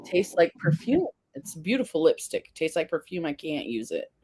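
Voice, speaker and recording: A woman's voice: sits low, sing-song, moderate pace, mellow, slight background noise. A woman hosting a casual livestream, chatting and reading viewer comments aloud. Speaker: livestream face paint host.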